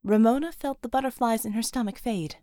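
High-voiced woman narrating action fiction. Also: a little fast, mellow, no room sound, clean studio sound. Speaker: audiobook narrator. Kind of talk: scripted dramatic action narration.

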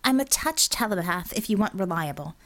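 A woman's voice: a little high, animated, normal speed, pitched above the performer's own voice, natural voice. A woman voicing a defiant, sarcastic young female healer in an audiobook, arguing in a flippant, clipped way. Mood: exasperated. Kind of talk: audiobook character dialogue.

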